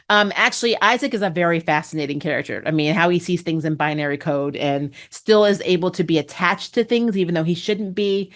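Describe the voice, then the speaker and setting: A woman hosting a casual spoiler review of a TV episode, chatty and amused, laughing often and filling pauses with "um" and "you know". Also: mid-range voice. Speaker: TV review host.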